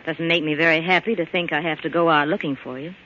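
An actress playing a tough, possessive nightclub boss wounded in love, with a sardonic, melodramatic delivery in old-time radio style. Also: female voice, deep voice, fairly even intonation, fast talker, a bit bright. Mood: reproachful. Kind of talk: 1940s radio drama acting. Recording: dull, slightly noisy old radio recording.

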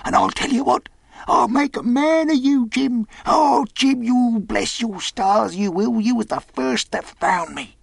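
A man's voice: very high-pitched, measured pace, gravelly, slightly rough, dry recording, clean studio sound, voice pushed up, heavily acted. A man voicing a half-crazed, sly, eager marooned old pirate with rustic sailor's talk, in a storybook reading. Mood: gleeful. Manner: rustic ungrammatical sailor's talk, repeats himself excitedly.

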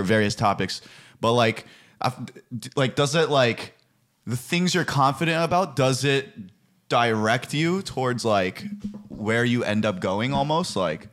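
A man's voice: medium pitch, normal speed, fairly bright tone. A man with a mid-range voice hosts a podcast, working through his thought as he goes. He restarts often and checks whether he is making sense. His delivery stays loose and casual. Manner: searching, hesitant, many restarts. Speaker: podcast host.

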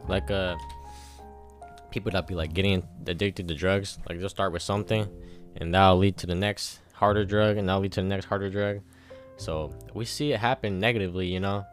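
Young man in his twenties, very low voice, rambling and profane, faint guitar music behind. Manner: rambling, profane, pep-talk energy. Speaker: podcast host. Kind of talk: solo casual podcast monologue.

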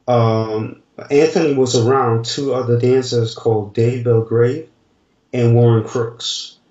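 A man in his forties speaks in a slow, halting way, often stopping to find the right word. His voice comes through a distant, roomy remote connection. The audio is dull and muffled. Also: mid-range voice, a little mellow. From Denmark. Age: 40s. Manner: slow, halting, searching for words. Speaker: dancer guest. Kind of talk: casual interview answers.

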